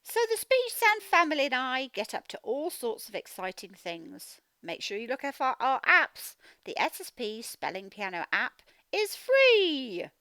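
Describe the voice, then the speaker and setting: A woman teacher giving a children's read-aloud phonics lesson, speaking in an animated, emphatic, instructional way and sounding out words. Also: sits high, unhurried, hardly any pauses, smooth, no echo. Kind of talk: children's read-aloud lesson, explaining directly to young learners.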